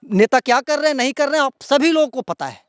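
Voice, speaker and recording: A man's voice: very high voice, expressive, fast, dry recording, studio quality. A man with a Bihari accent presenting outspoken local news commentary to viewers in an indignant, emphatic, repetitive way.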